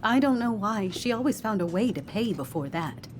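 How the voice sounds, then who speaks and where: A woman's voice: medium pitch, animated, moderate pace, a little hiss or hum, lightly acted. An actress playing a prim, caring middle-aged woman shopkeeper in a video game, with a motherly, firm manner. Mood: guarded, firm. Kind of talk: naturalistic video game acting.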